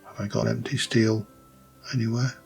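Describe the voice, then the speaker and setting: A man with a light Yorkshire accent, rambling through casual gameplay commentary with many pauses and restarts. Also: on the low side, little pitch movement, creaky, a little mellow, faint music behind. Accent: light Yorkshire English. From Yorkshire, England. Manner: rambling, thinks aloud with many ums and restarts. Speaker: let's play host.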